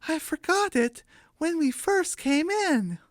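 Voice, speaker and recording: A narrator voicing an excitable young female chicken in a squeaky, exclaiming cartoon manner for a radio drama. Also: slow, no echo, studio quality, full character voice.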